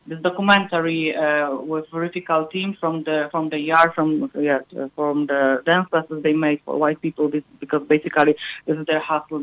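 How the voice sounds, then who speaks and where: A woman being interviewed in a live radio chat, speaking haltingly as she searches for words in fragmented English. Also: sits low, fairly bright tone, dry recording, lo-fi sound. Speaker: guest music researcher and filmmaker.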